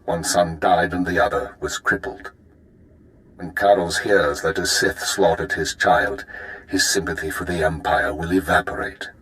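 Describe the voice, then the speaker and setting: Low-voiced, calculating spy handler. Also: male voice, steady tone, a bit bright, rough recording. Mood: calm, grave. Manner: formal, measured briefing. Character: calm, calculating male imperial intelligence handler. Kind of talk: dramatic video game acting.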